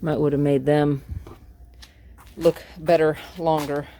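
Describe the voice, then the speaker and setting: A woman's voice: deep voice, flat delivery, slow pace, mellow, slight background noise. A woman casually narrating her car detailing work outdoors, chatty and rambling with lots of fillers. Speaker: car DIY vlogger. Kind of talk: casual narration while working.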